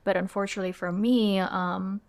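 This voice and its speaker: A young woman from Indonesia, speaking English as her second language, with a voice of middling pitch. She chats as a podcast guest. She thinks aloud, restarting phrases and adding fillers as she reflects. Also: fairly even intonation, fairly quick. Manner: thoughtful, frequent fillers and restarts. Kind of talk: reflective conversational interview.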